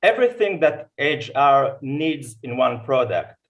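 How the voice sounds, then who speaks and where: A man's voice: mid-range voice, very animated, unhurried, muffled recording. A man with an Israeli accent giving an emphatic testimonial on a video call. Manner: emphatic, non-native phrasing. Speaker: webinar participant. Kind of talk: enthusiastic testimonial on a webinar. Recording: distant mic on a video call.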